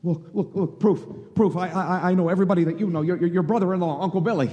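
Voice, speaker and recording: A man's voice: sits high, quick pace, dark tone, natural voice. Man acting a frantic stammering husband, echoing hall. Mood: desperate pleading. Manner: stammering, repeating words in agitation. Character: frantic, bewildered everyman husband, desperate and pleading. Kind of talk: live radio play acting. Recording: live hall, long reverb.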